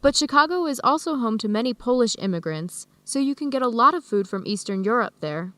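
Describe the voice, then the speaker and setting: Easygoing American woman, higher-pitched voice. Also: dry recording. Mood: informative. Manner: measured, explanatory, even-tempered. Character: friendly American woman hosting Ethiopian friends, easygoing, tolerant. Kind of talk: educational radio drama dialogue, clearly worded for learners.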